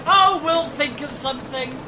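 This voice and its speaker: An actor playing a clever, grave time-travelling adventurer in a fan audio drama, explaining things in a measured, dramatic way. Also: lightly acted. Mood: resolute. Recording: distant mic, rumbling storm-like noise.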